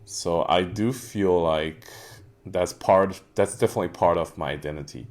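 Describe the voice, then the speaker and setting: A man's voice: sits low, normal speed. A young man with a Mandarin Chinese accent, co-hosting a casual podcast chat, earnest and hunting for the right English words. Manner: earnest, searching for words, many fillers. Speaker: podcast co-host.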